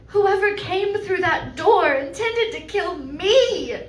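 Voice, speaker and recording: A woman acts out a frightened thriller heroine with a high voice and slow, deliberate lines. She is dramatic and suspicious, in old-time radio style. The room around her is echoey. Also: lively intonation, faint music behind, full character voice. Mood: alarmed. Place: live stage performance in a venue.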